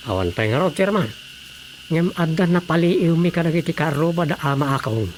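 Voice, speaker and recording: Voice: medium pitch, expressive, normal speed, natural voice. An actor voicing a village councilor with an Ilocano accent, in radio drama comedy style. The voice sits over faint noise and night insects. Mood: reporting. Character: village councilor reporting on neighbourhood thefts.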